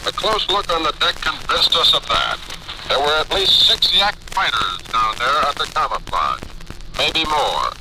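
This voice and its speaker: 1950s american war reporter guy, kinda high lively voice, scripted radio report, noisy old recording. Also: a little slow. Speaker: military war correspondent.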